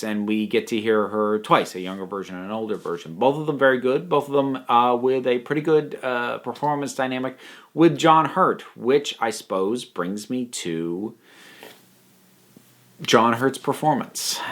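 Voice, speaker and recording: Halting, thoughtful man reviewing casually. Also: medium pitch. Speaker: online reviewer. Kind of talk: casual spoken review commentary.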